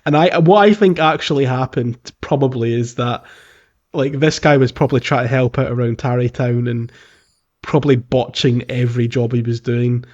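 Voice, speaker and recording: A man's voice: mid-range voice, fairly even intonation, normal speed, darkish tone, lo-fi sound. A man co-hosting a casual video game podcast, chatting in an amused way with frequent chuckles. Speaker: podcast co-host.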